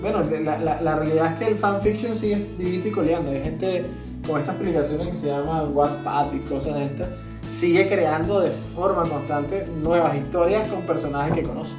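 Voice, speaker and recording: A woman co-hosting a casual podcast, explaining things haltingly with repeated words. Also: low-pitched. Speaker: podcast co-host. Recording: distant echoey mic, music behind the voice. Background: plucked guitar music.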